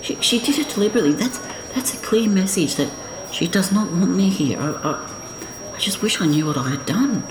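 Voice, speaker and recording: A man's voice: high-pitched, lively intonation. Man acting an anxious, stammering man in echoing noise. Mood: anxious, distressed. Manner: stammering, halting, repetitive, fretful. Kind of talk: first-person inner monologue in audio drama. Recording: noisy, echoing room sound.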